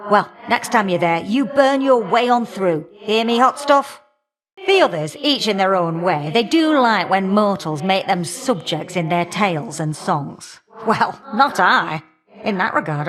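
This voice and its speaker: An actress voicing a warm, feisty old great-aunt fire goddess for a video game, with folksy, teasing, old-fashioned talk. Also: female voice, mid-range voice, lightly acted. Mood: reflective, frank. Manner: folksy, teasing, old-fashioned turns of phrase, calling listeners dearie. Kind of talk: video game character acting.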